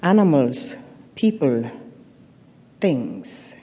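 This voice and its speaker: An actress playing a haughty, sardonic middle-aged African mother of a dictator in an audio drama, speaking in measured, cutting phrases. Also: extremely low pitch, sing-song, warm tone, heavily acted. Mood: sardonic, proud. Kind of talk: audio drama stage acting. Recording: reverberant theatre-like hall.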